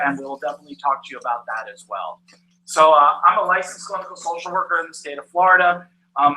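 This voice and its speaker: A middle-aged man speaks to an audience at an event with a loud, direct voice. His tone is very bright and forceful, his pitch a little high for a man. A faint hiss of noise sits beneath the recording. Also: rough recording. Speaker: patient access manager speaking at a patient event. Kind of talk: public talk to an audience. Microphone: handheld or stand microphone.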